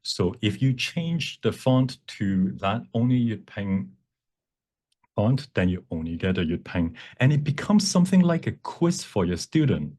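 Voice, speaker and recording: Low-voiced man giving an informal workshop. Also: animated, measured pace, darkish tone. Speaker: workshop presenter, font creator. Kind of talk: informal software demonstration, explaining.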